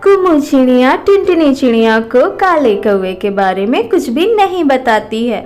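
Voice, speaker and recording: A woman's voice: high-pitched, big pitch swings, normal speed, a little mellow, faint music behind. A woman narrating a scripted children's cartoon story.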